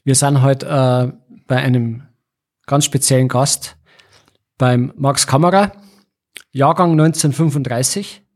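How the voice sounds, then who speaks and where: A man with a Bavarian accent hosting a podcast interview in an informal, somewhat halting conversational way. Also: mid-range voice, fairly even intonation, a little mellow.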